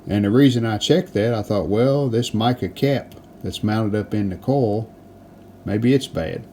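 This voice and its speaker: Male DIY repair host, lowish voice, matter-of-fact casual delivery, noisy recording. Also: a little slow, warm tone. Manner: plain-spoken, matter-of-fact step-by-step explaining. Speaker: restoration video host. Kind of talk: casual hands-on repair narration. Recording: clearly noticeable background noise.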